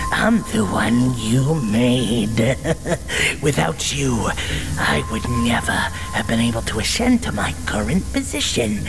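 Slow creepy demon vampire bad guy, croaky, gloating and taunting, comic dub. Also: medium pitch, rough recording. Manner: taunting, drawn-out delivery with dark chuckles. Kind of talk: comic book dub acting. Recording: dramatic music behind the voice.

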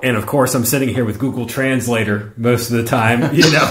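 A man hosts a podcast interview in a friendly, conversational tone. His voice sits at a middling pitch and rings out in a roomy, echoey space. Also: bright, forceful tone. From United States. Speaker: podcast host. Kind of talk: interview questions. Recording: roomy echo.

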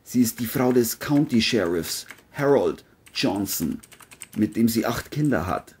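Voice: medium pitch, animated, unhurried, a little mellow, a little hiss or hum. A narrator reading a scripted crime audio drama, telling the story between the characters' lines.

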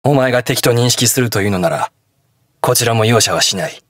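Game hero, male actor: stern young police detective in armor, gruff and defiant, very animated pitch, dry recording. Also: a little fast, no echo, close to an everyday voice. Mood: cool, menacing. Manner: gruff, terse, defiant delivery. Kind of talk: video game battle voice lines in Japanese hero-show acting.

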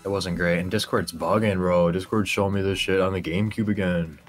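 A man's voice: sits low, fairly even intonation, brisk, soft background music. A young man in his twenties chatting casually on a headset over a slot stream, a little nasal and congested. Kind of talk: casual chat over gameplay. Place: home streaming setup, indoors.

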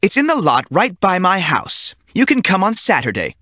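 A man voicing a stern, bony older female teacher who speaks slowly and insistently. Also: high voice, huge pitch swings, bright, forceful tone, dry recording, studio quality, pitched above the performer's own voice, exaggerated voice.

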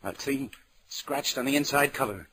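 An actor playing a weary, wry New York police detective in 1940s radio style, sardonic and slangy. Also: male voice, mid-range voice, moderate pace, sharp tone, slight background noise. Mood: wry, tough. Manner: hard-boiled, sardonic, slangy. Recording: old radio broadcast with music.

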